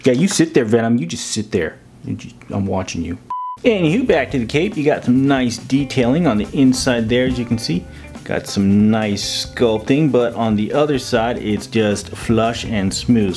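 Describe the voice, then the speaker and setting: A man's voice: medium pitch, a little mellow. A man giving a casual, jokey action figure review as host, with a playful manner and silly made-up words. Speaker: toy reviewer and video host.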